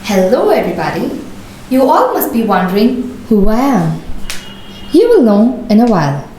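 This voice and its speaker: A woman with an Indian accent playing a determined young sportswoman who tells her life story earnestly and deliberately. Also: fairly high pitch, big pitch swings, dark tone, roomy sound, slight background noise, low-quality recording. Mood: warm, proud. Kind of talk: scripted first-person storytelling.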